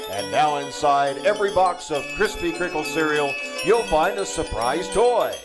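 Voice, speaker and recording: A man playing an upbeat, showy pitchman in an old-time radio commercial for children's food. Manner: salesy, showy. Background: music.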